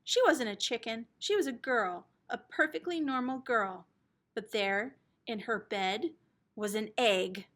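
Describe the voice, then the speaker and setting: A woman teacher reading a children's storybook aloud in an expressive, dramatic storytelling manner. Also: a little high, a little slow.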